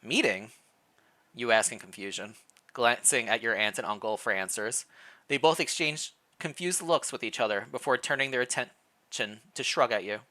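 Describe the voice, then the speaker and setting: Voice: medium pitch, moderate pace, bright, forceful tone. A streamer reading interactive fan fiction aloud, with playful asides between the narration. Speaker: streamer, reader.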